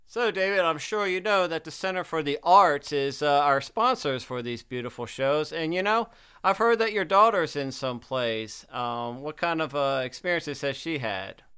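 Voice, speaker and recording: Man, folksy old-time radio host, high animated voice, crisp and clear, faint crowd behind. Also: moderate pace. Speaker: show announcer and interviewer.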